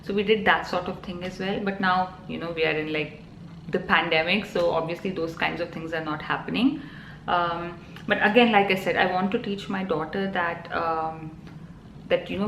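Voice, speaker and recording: A woman in her thirties with an Indian accent talks casually to camera, filling pauses with ums and you-knows. Her voice sits a little low. The room is echoey, with a faint hum of ambience behind her. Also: a bit bright. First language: Telugu. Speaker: parenting vlogger.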